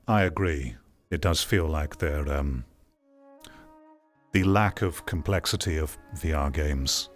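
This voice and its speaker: A middle-aged man giving casual live commentary as a streamer, rambling in a sardonic, exasperated manner. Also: extremely low pitch. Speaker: streamer reacting to a video.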